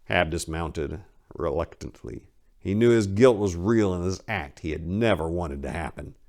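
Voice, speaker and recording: A man's voice: deep voice, normal speed, darkish tone. A man reading his own story aloud as dramatic narration, in a grave, ominous, emphatic manner.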